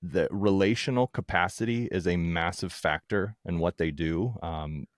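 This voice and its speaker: A man speaking casually as an interview guest, candid and informal, thinking out loud as he goes. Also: low-pitched, normal speed, dry recording, studio quality. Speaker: guest, founder of a podcast production company.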